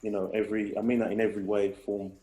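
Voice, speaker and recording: A man's voice: lowish voice, little pitch movement, fast talker, dark tone, roomy sound, soft background music, rough recording. A man, a guest in casual conversation, reflective and rambling with restarts. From England. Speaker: guest, hip hop artist.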